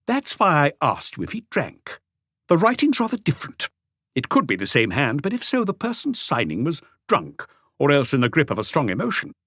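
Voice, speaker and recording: A man voicing an eager, precise, excitable male handwriting expert explaining things in an audiobook. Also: fairly high pitch, normal speed, no room sound, clean studio sound, pitched above the performer's own voice, exaggerated voice.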